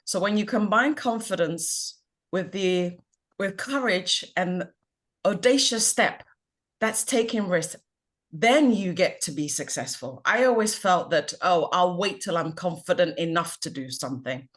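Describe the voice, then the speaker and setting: A woman's voice: fairly low pitch, animated, a little slow. A woman from Ghana, a confidence coach, speaking earnestly and reflectively as a guest on a video call. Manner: reflective, earnest and upbeat, laughs at herself. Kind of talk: guest on an online panel chat.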